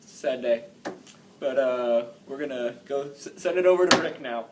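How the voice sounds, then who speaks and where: A man's voice: mid-range voice, normal speed. A man presents news casually as an amateur anchor. His delivery is hesitant and laid-back, with offhand asides. He is recorded at a distance from the mic with faint noise behind him.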